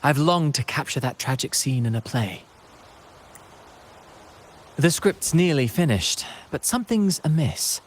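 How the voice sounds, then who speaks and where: An actor playing a courtly male playwright in a video game, speaking in grandiloquent phrases with dramatic pauses. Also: medium pitch, expressive, a little hiss or hum, lightly acted. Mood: wistful, theatrical. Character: courtly, theatrical male playwright, self-styled humble. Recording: music behind the voice.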